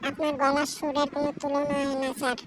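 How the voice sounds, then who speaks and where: Female office assistant for amateur cartoon woman actor: high voice, Bangladeshi accent, deferential, eager, heavy noise on the track. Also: monotone, quick pace, natural voice. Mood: admiring, chatty. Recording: very noisy recording with clicks.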